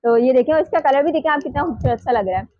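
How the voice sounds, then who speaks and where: A woman's voice: high-pitched, racing. A woman with a Pakistani Urdu accent hosting a shopping vlog in a small shop, eagerly echoing and praising what she is shown. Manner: enthusiastic, echoes and praises. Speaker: vlogger host. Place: small clothing shop indoors. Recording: dull lo-fi sound.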